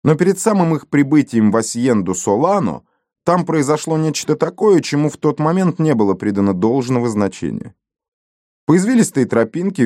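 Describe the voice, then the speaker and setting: A man reading an adventure novel aloud as an audiobook narrator, in an expressive, measured storytelling manner. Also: medium pitch, no room sound, clean studio sound.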